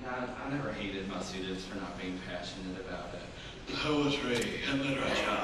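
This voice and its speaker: A young man performing a haunted male high school English teacher, who talks in halting restarts and wry, self-mocking laughs, in a live dramatic interpretation. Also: natural voice. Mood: wistful, haunted. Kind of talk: competitive dramatic interpretation monologue. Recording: echoey hall from far off, noisy.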